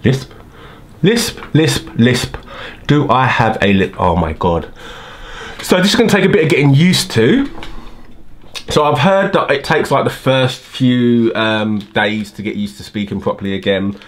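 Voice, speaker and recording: A man's voice: mid-range voice, lively intonation, unhurried, slight background noise. A man in his thirties with a slight lisp from new teeth aligners, chatting casually in a home vlog while fiddling with his teeth. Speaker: vlogger. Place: home room by a window.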